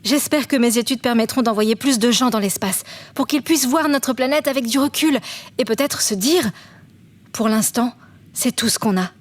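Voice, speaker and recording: A woman's voice: fairly high pitch, moderate pace, natural voice. A woman playing an earnest, affectionate young science student in a naturalistic fiction podcast. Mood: wistful, hopeful.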